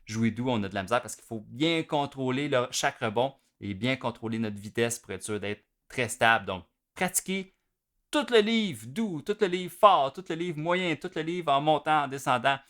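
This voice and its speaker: Casual Quebec French drum teacher. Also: male voice, medium pitch, animated, fairly bright tone. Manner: explanatory and informal, with frequent fillers and pauses to play. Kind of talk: instructional video lesson.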